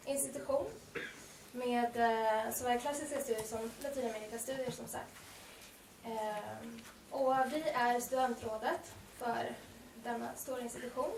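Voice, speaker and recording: Gravelly-voiced woman giving a formal welcome. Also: a little high. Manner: measured opening remarks with hesitant fillers. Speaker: student council chair. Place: university library lecture room. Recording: echoey, distant, noisy room recording.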